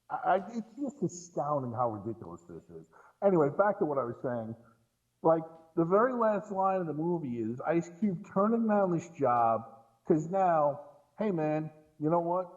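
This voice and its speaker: A man with a slightly high voice chats about movies in a casual podcast style. He sounds incredulous and emphatic, circling back on words to drive points home. The recording is a little dull and lo-fi. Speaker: podcast guest and film commentator. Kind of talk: casual film discussion.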